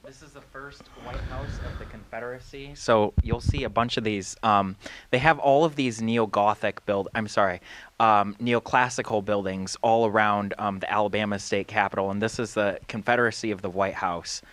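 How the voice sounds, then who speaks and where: A man's voice: fairly low pitch, steady tone, moderate pace, a bit bright, no echo. A man, a resident minister, presenting slides casually and correcting himself as he goes. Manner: corrects himself mid-sentence.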